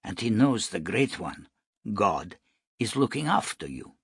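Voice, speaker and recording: A man voicing a gentle, wise wandering holy man in a storybook, speaking in a calm, measured, solemn way. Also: lowish voice, gravelly, slightly rough, no echo, studio quality, heavily acted. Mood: gentle, reflective.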